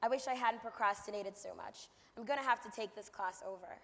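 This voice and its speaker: A woman's voice: fairly high pitch, brisk, fairly bright tone, natural voice. A young woman playing a troubled college student admitting her worries in a staged monologue. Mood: uneasy. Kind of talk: staged readers theater monologue. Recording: echoey hall.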